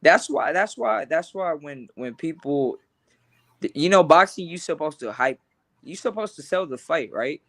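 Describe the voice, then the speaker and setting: A man's voice: on the high side, expressive, moderate pace, a bit bright. A young man who grew up between Los Angeles and Honduras, speaking earnestly and casually in an interview, often repeating words as he starts a thought. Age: young adult. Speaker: boxer, interview guest.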